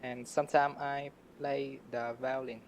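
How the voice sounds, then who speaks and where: Slow, flat-voiced male student from Vietnam. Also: mid-range voice, slight background noise. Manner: hesitant with fillers. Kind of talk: short self-introduction.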